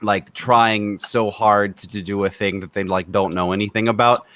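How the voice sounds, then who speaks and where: A man's voice: fairly low pitch, fairly even intonation, normal speed, a bit bright. A man from Romania who co-hosts a film review podcast, talking casually, opinionated and freely swearing.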